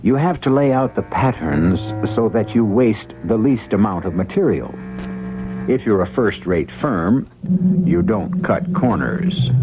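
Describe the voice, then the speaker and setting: An actor as a grave, measured storyteller, narrating a mystery for a vintage radio series. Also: male voice, low voice, full character voice. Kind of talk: scripted dramatic narration. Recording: narrow-band vintage radio with loud music.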